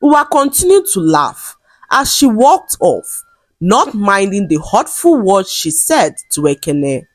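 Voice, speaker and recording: A woman narrates a scripted folktale in a measured, dramatic storytelling manner. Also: medium pitch, very animated, dry recording. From Nigeria.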